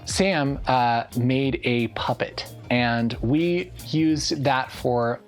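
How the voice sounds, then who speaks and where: A man's voice: mid-range voice, drawn-out words. A man in his thirties talking casually as host in a behind-the-scenes interview. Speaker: video creator and host. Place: small studio with curtain backdrop. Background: music.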